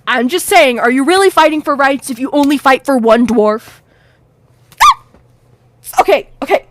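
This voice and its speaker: A woman's voice: high-pitched, no echo. A woman voicing a snarky star-child space host. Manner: theatrical, snarky, bursting with exclamations. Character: sassy, showy star-child host of a cosmic radio show. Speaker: podcast host character. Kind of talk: scripted fiction podcast.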